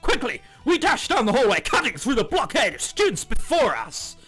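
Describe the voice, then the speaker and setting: A man's voice: extremely high pitch, wildly swooping pitch, moderate pace, fairly bright tone, slightly rough, soft background music. A man hosting a gaming channel, reading a visual novel aloud in a theatrical, jokey way with ad-libbed commentary.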